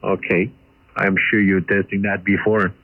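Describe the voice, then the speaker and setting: Over a ham radio link, a man who is not a native English speaker talks haltingly, searching for words and repeating himself. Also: deep voice, level tone, dry recording. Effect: ham radio transmission. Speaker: amateur radio operator. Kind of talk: casual radio conversation. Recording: muffled, band-limited shortwave radio signal.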